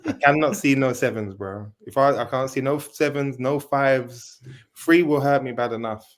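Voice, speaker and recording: A man talking football as a guest fan in a casual debate, laid-back and resigned, low on energy. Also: moderate pace. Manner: laid-back, resigned, says bro a lot.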